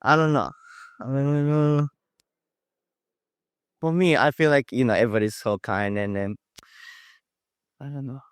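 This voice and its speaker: A teenage boy with a Japanese accent, an international student answering panel questions in a halting, hesitant way. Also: medium pitch, steady tone, smooth, no echo. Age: teens. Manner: hesitant, halting, many fillers.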